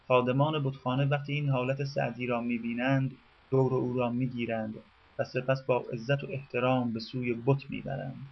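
A man reads scripted radio narration in a measured, formal manner and eases into a slower cadence whenever he recites classical verse. His voice sits at an ordinary middle pitch. The recording sounds dull and lo-fi, like an old radio broadcast.

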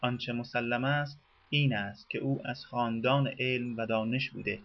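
Male narrator for a radio biography, formal and measured, recorded lo-fi. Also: mid-range voice, steady tone.

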